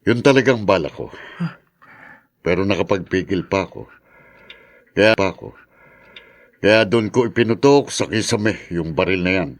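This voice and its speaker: A man's voice: low voice, animated, moderate pace, pauses often. An actor playing a middle-aged ex-convict father in a Filipino radio drama, earnest and remorseful with a hot-headed streak. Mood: defiant, agitated.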